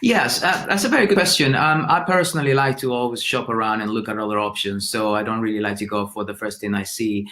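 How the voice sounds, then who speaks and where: Low-voiced man answering, full of ums. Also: fast talker, hardly any pauses, pushed and bright. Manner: hesitant, many fillers and restarts. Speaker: student being interviewed. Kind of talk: casual interview answers.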